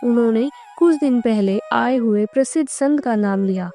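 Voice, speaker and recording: A woman narrates a cartoon moral tale from a script. Her voice is soft-edged and mellow, sitting fairly high, with light music behind her. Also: normal speed.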